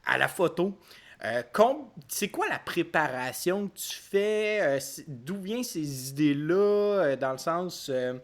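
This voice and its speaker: A man's voice: fairly high pitch, lively intonation, unhurried. A man hosting a casual interview podcast, asking questions with many hesitations and fillers. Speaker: podcast host.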